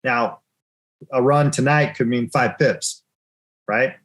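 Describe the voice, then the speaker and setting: A man hosting a live currency trading session and talking through chart moves in loose, chatty sentences. He teases his co-host and laughs often. His voice sits at an ordinary middle pitch. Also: slow, fairly bright tone. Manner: casual, teasing, laughs often.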